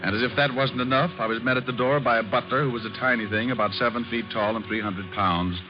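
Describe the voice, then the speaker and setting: An actor playing a breezy, glib private detective with a sarcastic, flippant manner in an old radio drama. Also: male voice, mid-range voice, fairly even intonation, quick pace, close to an everyday voice. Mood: wry narration. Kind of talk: 1940s-50s radio detective drama acting with narration. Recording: muffled old radio recording with music.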